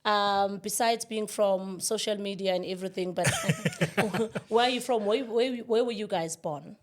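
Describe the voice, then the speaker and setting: A woman's voice: mid-range voice, expressive, unhurried. A woman co-hosting a podcast, upbeat and laughing as she leads the questions. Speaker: podcast co-host. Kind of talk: casual podcast interview.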